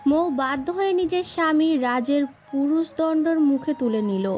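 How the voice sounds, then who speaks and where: A woman's voice: high-pitched, animated, normal speed, a little mellow. A woman reading a scripted story as its narrator. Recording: dull muffled sound with music behind.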